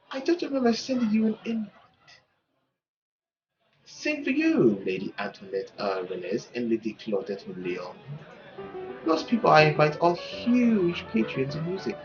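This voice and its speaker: A performer voicing a suave, courtly French marquis, gracious and gently condescending in theatrical, flowery, unhurried speech. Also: medium pitch, huge pitch swings. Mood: smooth, condescending. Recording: dull lo-fi audio with faint music.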